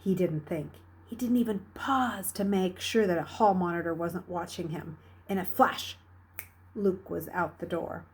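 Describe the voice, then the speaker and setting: A woman reading a novel aloud as a storyteller, with dramatic, expressive delivery. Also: lowish voice, measured pace, husky. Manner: dramatic, expressive, with hushed dips and emphatic rises. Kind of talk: read-aloud storytelling narration.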